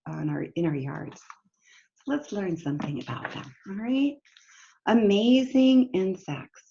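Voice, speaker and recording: Slow-paced woman reading to children. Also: fairly low pitch, gravelly, a little mellow, lo-fi sound. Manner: simple, instructive, addresses children directly with questions. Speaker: teacher reading a picture book to children. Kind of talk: read-aloud story time lesson for young children, with explanatory asides.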